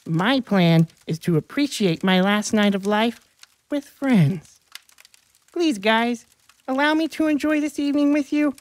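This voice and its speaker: Woman acting slow-talking, dramatic scrawny teenage boy. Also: on the high side, lively intonation, voice pushed up. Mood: pleading. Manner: dramatic, self-pitying. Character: scrawny, pleading teenage boy football benchwarmer. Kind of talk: audiobook character acting.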